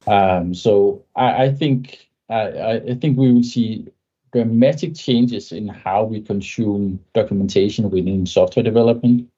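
A man's voice: lowish voice, unhurried, warm tone, slightly rough, lo-fi sound. A man, a podcast guest speaking thoughtfully and often ending points with a tag question. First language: Danish. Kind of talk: conversational tech interview.

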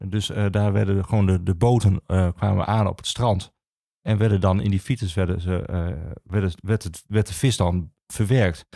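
A man with a Netherlandic Dutch accent explaining as an expert guest, conversationally and with halting, hesitant phrasing. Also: low voice, fairly even intonation, vocal fry, mellow, no echo, clean studio sound. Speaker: regional archivist, podcast guest.

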